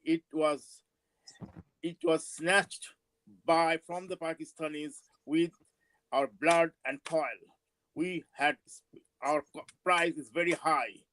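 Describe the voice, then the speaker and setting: A man in his seventies with a Bangladeshi accent and Bengali as his first language, giving a political speech with personal recollections in a halting, emphatic way. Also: fairly high pitch, fairly even intonation, fairly bright tone, low-quality recording. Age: 70s. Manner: halting, emphatic, with drawn-out words. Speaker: panel speaker at a forum.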